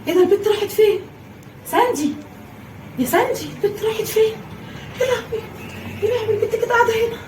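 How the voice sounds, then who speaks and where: A young woman with an Egyptian Arabic accent playing a fussy stepmother in a home-made family skit, with high, rapid, exclamatory talk. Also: a little hiss or hum, close to an everyday voice. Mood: panicked. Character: fussy stepmother, doting then scolding. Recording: voice a little far from the mic.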